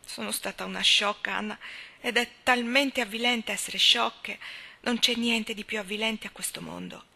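A woman's voice: medium pitch, steady tone, brisk, pushed and bright. A woman reading a story as an anguished, restless young woman who speaks in vehement, exclaiming bursts. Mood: anguished, ashamed. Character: anguished young woman confessing a hopeless secret love.

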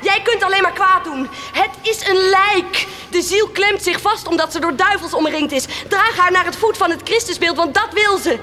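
A woman's voice: extremely high pitch, normal speed, few pauses, pushed and bright, slight background noise. An actress playing a proud, compassionate woman of the poor in a Dutch radio play, solemn and impassioned. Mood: urgent, commanding.

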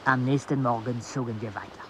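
A man acting as a travelling companion recounting a grim expedition in a radio play. His voice sits at a middle pitch and his telling is measured and literary, with clear insect ambience behind him. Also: steady tone, noisy background, close to an everyday voice. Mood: sober. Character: male expedition companion narrating a grim jungle journey.

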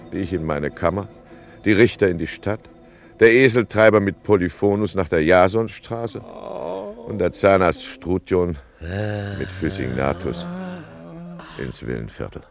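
Dignified judge, deep voice, weary, measured, dry deadpan radio-play narration, light music behind. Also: male voice, creaky, exaggerated voice. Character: weary, dignified male judge recounting chaos drily.

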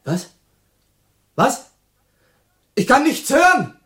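A bewildered husband in a radio drama about catastrophe. He is excitable and urgent, with pitch swinging to extremes and a drawn-out delivery. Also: exaggerated voice. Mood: alarmed, urgent. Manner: urgent, exclamatory, stunned. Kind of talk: dramatic radio play acting.

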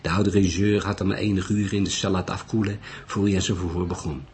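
Low-voiced male audiobook narrator. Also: level tone, fast talker, darkish tone. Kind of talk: scripted crime novel narration. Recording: faint background noise.

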